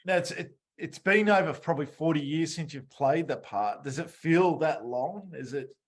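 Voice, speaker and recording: A man's voice: a little high, lively intonation, moderate pace. A man hosting an interview in a casual, conversational style. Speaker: podcast interview host.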